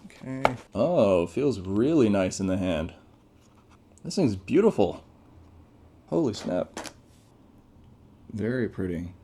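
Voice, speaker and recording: A man's voice: lowish voice, big pitch swings, slow pace, long pauses, mellow, slight background noise. A man giving casual, off-the-cuff unboxing commentary as a tech reviewer, laid-back and informal with plenty of slang.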